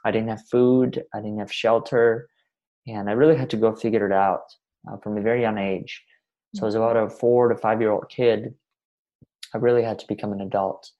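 Low, flat-voiced young man from Russia. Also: rough recording. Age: 20s. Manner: earnest, measured, speaks in long winding sentences. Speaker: podcast guest. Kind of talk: reflective interview talk.

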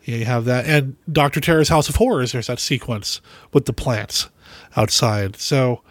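A man hosting a podcast, talking in a relaxed, enthusiastic way and often trailing off. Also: medium pitch, normal speed, no echo. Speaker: podcast host. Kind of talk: casual podcast chat.

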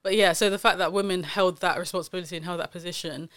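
Chatty woman podcast host, smooth voice. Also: lowish voice, fairly even intonation, fast talker, fairly bright tone, no echo, studio quality. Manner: chatty, many fillers and self-corrections. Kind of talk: casual chat.

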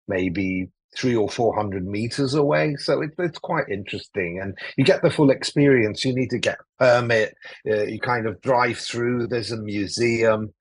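A man in his forties from Greece, talking as a podcast guest in a relaxed, rambling way with many fillers and restarts over a remote call. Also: on the low side, breathy edge, dry recording, rough recording. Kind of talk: casual interview talk. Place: cozy room.

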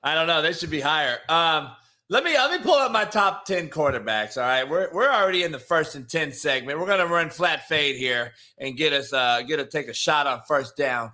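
High-voiced, bright, brash American sports host. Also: male voice, animated, a little fast. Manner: blunt, brash, heavy swearing. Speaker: sports talk show host. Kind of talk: casual profane sports debate.

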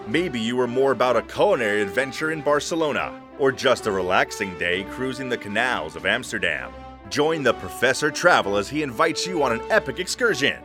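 A man gives a scripted show introduction in a dramatic announcer style. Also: medium pitch. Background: music.

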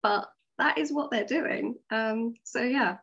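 A woman being interviewed as a writer, explaining her ideas in animated, casual conversational bursts. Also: fairly high pitch, slow pace, vocal fry, breathy edge, muffled recording.

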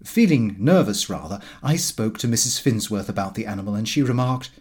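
A man reading a comic first-person diary aloud in an expressive, fussy storytelling manner. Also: lowish voice, brisk, slightly rough. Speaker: audiobook narrator.

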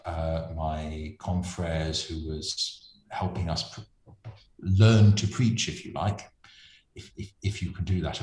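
Very deep-voiced hesitant man in echoey room. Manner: hesitant, many ums and self-restarts, thoughtful. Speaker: friar and preacher. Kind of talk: reflective interview conversation.

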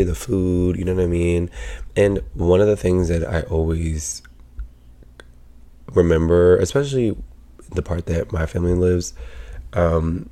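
A man hosting his own podcast, talking casually with a sick, raspy voice, rambling with lots of fillers and swearing. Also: deep voice, mellow. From Pennsylvania. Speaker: podcast host. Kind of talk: casual solo podcast chat.

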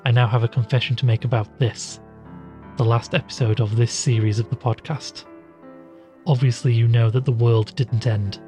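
A man playing a weary, confessional podcast host and shaken survivor in an audio drama, with measured, dryly understated delivery. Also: medium pitch, steady tone, warm tone, faint music behind, lightly acted. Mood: sombre, confessional.

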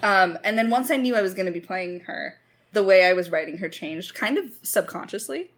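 Woman writer chatting in a q&a, thinks out loud, pokes fun at herself a bit. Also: medium pitch, animated, a little fast. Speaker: lead writer of an audio drama. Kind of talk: casual Q&A discussion.

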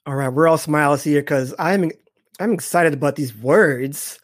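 A man's voice: a little high, normal speed, no room sound, clean studio sound. Upbeat man hosting a podcast. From Boston. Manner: upbeat, enthusiastic, repeats "awesome". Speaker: podcast host. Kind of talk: interview hosting.

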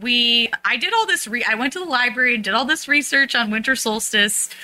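A woman's voice: a little high, fairly quick, background noise. An American woman talking casually and informally as an interview guest, peppering her chat with "like". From San Francisco, US. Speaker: interview guest, circus theater performer.

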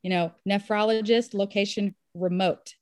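A woman's voice: mid-range voice, fairly even intonation, normal speed, darkish tone, dry recording, clean studio sound. A woman physician appearing as a podcast guest. She speaks in an upbeat, enthusiastic, conversational way. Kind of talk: casual interview talk. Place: home in Nashville.

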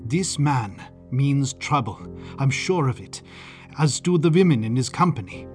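A man's voice: mid-range voice, expressive, a little slow, darkish tone, soft background music, lightly acted. A man voicing a rigid, zealous police lieutenant who speaks earnestly and blurts out his devotion.

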